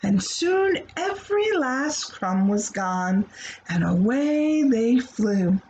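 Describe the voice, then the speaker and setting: Animated, high-voiced woman reading to children. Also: takes it slowly, highs cut off, slight background noise. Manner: expressive, playful, addressing children directly. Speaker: children's storybook reader. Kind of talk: animated picture-book read-aloud with asides to young listeners.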